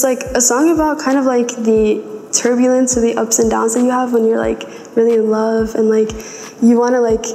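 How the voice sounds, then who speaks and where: Young woman singer-songwriter, mellow and even-toned, hesitant, recorded in a reverberant room. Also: fairly high pitch, a little hiss or hum. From United States, raised in Atlanta. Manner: relaxed, hesitant, heavy use of "like" fillers. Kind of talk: casual interview. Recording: echoey room with long reverb.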